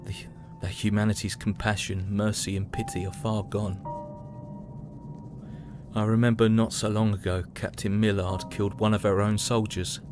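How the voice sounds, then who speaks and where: Man acting a low-voiced, haunted young British soldier. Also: flat delivery, moderate pace, lightly acted. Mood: somber, weary. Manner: somber, reflective, dramatic. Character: young, weary British soldier in 1917 trenches. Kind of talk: first-person audio drama diary narration. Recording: music behind the voice. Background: dramatic music.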